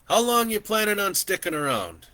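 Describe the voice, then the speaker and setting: A man's voice: high voice, big pitch swings, moderate pace, pushed and bright, no room sound, pitched above the performer's own voice, full character voice. A man voicing an easygoing, welcoming older landowner who rides a horse on his land, in a spoken story. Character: friendly older male horse-riding landowner.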